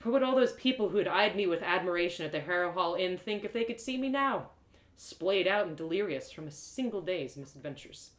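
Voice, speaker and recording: A woman reading a first-person fantasy story aloud in a dramatic, expressive way. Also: on the low side, a little fast. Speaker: storyteller, author reading live. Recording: roomy echo.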